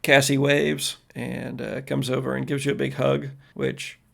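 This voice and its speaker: Guy running a tabletop rpg, super gravelly fry, mid pitch, casual storytelling. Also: flat delivery, unhurried. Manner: casual, conversational. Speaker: game master. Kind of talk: improvised narration of tabletop roleplaying game.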